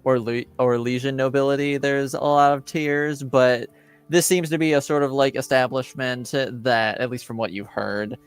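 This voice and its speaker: A man narrating a tabletop roleplaying game as game master, casual and halting as he searches for words. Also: mid-range voice, fairly even intonation, clean tone, dry recording, soft background music.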